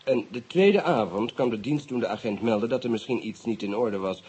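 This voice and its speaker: A man acting a courteous, guarded British police investigator in a radio play, speaking in a measured, matter-of-fact way with polite English phrases. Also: mid-range voice, darkish tone, slightly rough, close to an everyday voice. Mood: measured, informing. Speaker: police officer. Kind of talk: radio drama dialogue.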